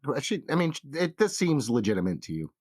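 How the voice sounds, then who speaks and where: A man's voice: medium pitch, very animated, a little fast, darkish tone, no room sound, studio quality. A man speaking as game master, narrating and steering a tabletop roleplaying game.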